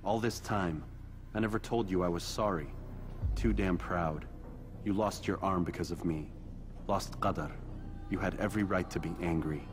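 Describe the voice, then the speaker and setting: A man's voice: low-pitched, moderate pace, close to an everyday voice. A man playing a grave, proud assassin in a video game, speaking tersely and earnestly over music. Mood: contrite. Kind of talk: dramatic video game dialogue.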